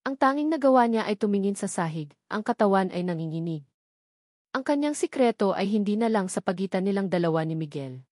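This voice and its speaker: A woman's voice: mid-range voice, lively intonation, a little fast, a little mellow, no room sound, studio quality. A woman giving scripted, dramatic narration of a story as its narrator.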